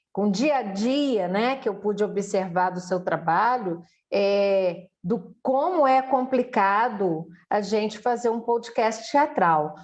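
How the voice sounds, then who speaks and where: Female interviewer, Brazilian accent, medium pitch, very unhurried delivery, conversational and deliberate. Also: lo-fi sound. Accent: Brazilian Portuguese. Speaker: interviewer and host.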